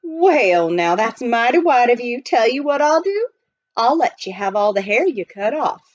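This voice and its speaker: A woman voicing a friendly, rough-hewn young gold miner in folksy frontier dialect for a children's storybook. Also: a little high, sing-song, normal speed, lo-fi sound, slight background noise, voice pushed up. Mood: folksy, cheerful. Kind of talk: children's storybook read aloud.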